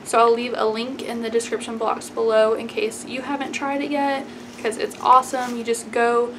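A young American woman with a fairly high voice talks casually to camera in a chatty vlog style. She peppers her informal talk with "like" and "y'all". The recording has clear background noise from the room. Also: little pitch movement, normal speed. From Texas, United States. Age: 20s. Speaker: vlogger. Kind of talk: casual grocery haul chat to camera. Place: small plain indoor room.

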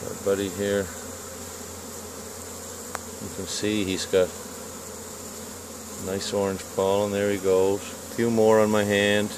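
A beekeeper from Canada narrating his bee yard outdoors in a calm, unhurried way, filming on a handheld phone. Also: on the low side, noisy background. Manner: calm, unhurried commentary in short phrases. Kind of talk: casual outdoor video narration. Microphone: handheld phone camera. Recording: clear bee buzzing outdoors.

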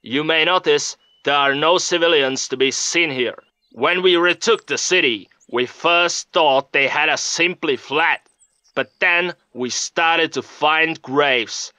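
A grave, indignant army captain gives a deliberate account in a game cutscene interview. His voice is fairly high and forceful, recorded very dry. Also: dry recording. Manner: solemn, deliberate.

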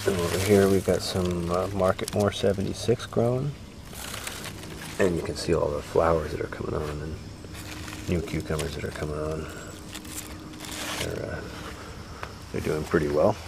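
A man's voice: low-pitched, steady tone, moderate pace, pauses often. A man giving a casual walking tour of his vegetable farm, talking easygoing with lots of "uh" fillers. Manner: easygoing, rambling, frequent "uh" fillers. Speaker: farmer giving a garden tour. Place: outdoor vegetable farm fields. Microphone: handheld camera mic, walking. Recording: outdoor camera audio with insect noise. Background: crickets and insects outdoors.

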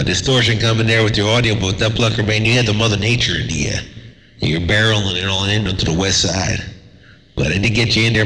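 A man chatting on CB radio from a desert station, laid-back and drawling, with a slightly raspy voice from a cold. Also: on the low side, sharp tone, big-room sound, a little hiss or hum, rough recording. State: has a cold. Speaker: CB radio operator.

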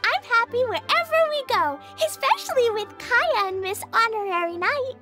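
A woman's voice: extremely high pitch, sing-song, normal speed, music in the background. A woman voicing an eager, innocent little girl with childlike speech in an anime-style fantasy game. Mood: excited. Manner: childlike, refers to herself by name.

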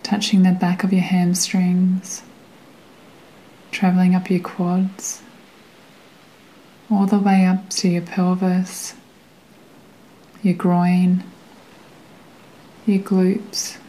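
A woman guiding a meditation in a gentle, soothing way, with a soft, sometimes breathy voice and long pauses between phrases. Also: fairly low pitch, monotone, rough recording. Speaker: meditation guide. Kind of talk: guided meditation narration.

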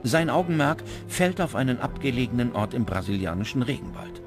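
A man narrates a documentary from a script. His voice sits at a middling pitch, with music clearly audible behind him. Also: big pitch swings, fairly quick. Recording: music under the voice. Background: background music.

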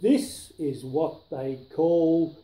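Mellow older man reading slowly, roomy sound. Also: a little high, fairly even intonation. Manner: careful, emphatic tongue-twister delivery. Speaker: storyteller grandfather. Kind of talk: reading a children's rhyming book aloud. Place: indoors, living room on a sofa. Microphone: camera a few feet away. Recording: roomy living-room echo.

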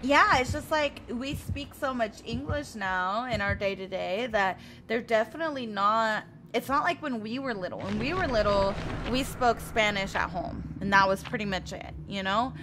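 A woman's voice: fairly high pitch, normal speed, few pauses, noisy background. A woman in her twenties from the United States, chatting casually with her stream viewers and reading their messages aloud while she plays. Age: 20s. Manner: chatty, laughs often, reads chat aloud.

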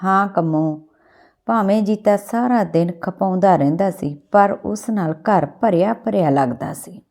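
A woman storyteller acts out a village mother from a Punjabi storybook, wistful and homely. Her voice is soft and mellow, with a Punjabi accent and a plaintive turn. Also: medium pitch, measured pace, creaky.

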